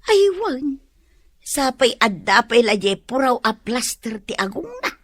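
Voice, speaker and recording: Casting: gossipy, exasperated middle-aged Ilocano mother played by a woman, husky and highish voice, radio comedy drama. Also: very animated, unhurried, heavily acted. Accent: Filipino, Ilocano.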